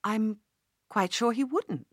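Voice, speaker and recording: A woman's voice: fairly high pitch, slow pace, dry recording, pitched above the performer's own voice. A shrewd, loyal British businesswoman from a novel voiced by a woman narrator. She is poised and polite but decisive. The sound is dry and close. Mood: surprised, firm.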